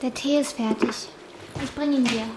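A woman playing a gentle, dutiful thirteen-year-old daughter in an audio drama, with patient, simple phrasing. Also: high voice, steady tone, normal speed, background noise, lightly acted. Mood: soothing.

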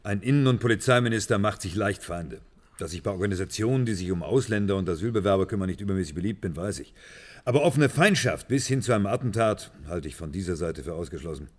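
Composed interior minister, very low voice, formal, measured, considerate, German radio drama. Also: male voice, expressive. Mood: firm, matter-of-fact. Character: composed, courteous male interior minister, firm and condoling.

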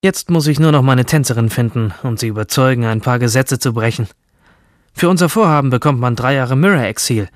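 A man's voice: medium pitch, brisk, no room sound, clean studio sound, lightly acted. An actor playing an obsessive, rebellious male hacker from a virtual future world, narrating a radio drama in the first person. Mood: confident. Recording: music under the voice.

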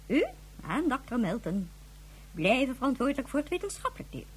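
A stern female leader in a science fiction radio play. Her voice is high and swings widely as she lectures in formal, measured phrases. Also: very animated, husky, heavily acted. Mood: cool, decisive. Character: stern authoritative female chief of a future space society. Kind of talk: Dutch science fiction radio drama acting.

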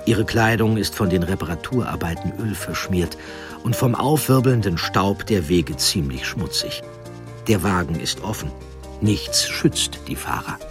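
Deep-voiced male documentary narrator. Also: unhurried. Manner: calm, explanatory. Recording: narration over music.

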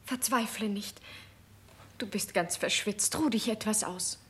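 A woman's voice: mid-range voice, a little slow, close to an everyday voice. An actress playing a loving, anxious young queen, earnest and emotional, in a 1960s German radio play. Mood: tender, hopeful. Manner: soft, earnest, emotional pleading.

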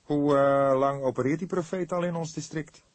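Man acting a surly young detective, pitched up. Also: steady tone, normal speed, no room sound, close to an everyday voice. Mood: sceptical. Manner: snappish, incredulous, sneering. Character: surly, scornful, sceptical young male police detective. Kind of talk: audiobook character dialogue.

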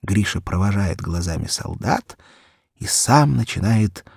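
A man tells a children's story in a lively, animated voice that rises and falls freely. His tone is low with a slightly husky edge. The sound is close and dry, like a studio booth. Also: a little slow, no room sound. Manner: expressive, lively storyteller's delivery. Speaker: audiobook narrator. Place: recording studio.

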